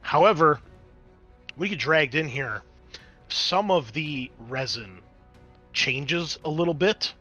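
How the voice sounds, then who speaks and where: Bright-voiced male game master over music. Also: on the high side, animated, slow pace, pauses often. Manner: conversational, improvised explaining mixed with dramatic scene description. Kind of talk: narrating a tabletop roleplaying game.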